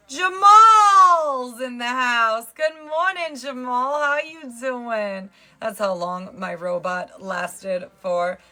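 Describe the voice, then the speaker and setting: A woman's voice: medium pitch, pushed and bright. A woman with a New Jersey accent chatting casually on a fitness livestream. She jokes around and greets viewers by name. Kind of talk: casual live-stream chat.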